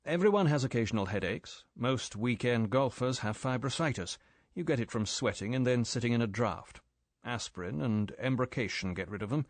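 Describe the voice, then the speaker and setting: A man's voice: medium pitch, expressive, normal speed, dry recording, natural voice. Man doing a polite defensive secret agent, clipped, deferential, dry sound.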